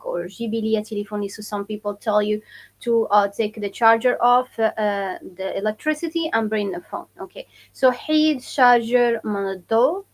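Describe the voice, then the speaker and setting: A young woman from Morocco with a Moroccan accent, teaching her dialect on a live stream and slowly repeating phrases for learners. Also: a little high. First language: Moroccan Arabic. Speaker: language teacher. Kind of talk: live-stream language lesson.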